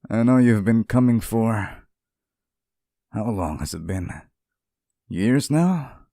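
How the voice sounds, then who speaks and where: A man's voice: low voice, mellow, no echo, clean studio sound. A man playing a sweet, clingy, centuries-old sealed demon in an intimate ASMR roleplay, speaking tenderly and hesitantly to one listener.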